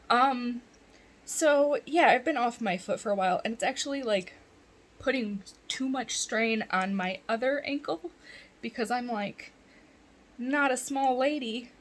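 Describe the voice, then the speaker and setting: A woman's voice: a little high, animated, normal speed. A woman vlogging in a casual chat from bed at home, congested and rambling, often laughing.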